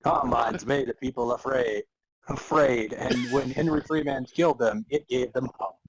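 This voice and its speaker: A man with a mid-pitched, somewhat husky voice co-hosts a comedy podcast. He reads and chats in an amused, casual way, as if barely keeping a straight face. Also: moderate pace, dry recording, poor audio. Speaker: podcast co-host. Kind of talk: dramatic reading of fan fiction and casual banter.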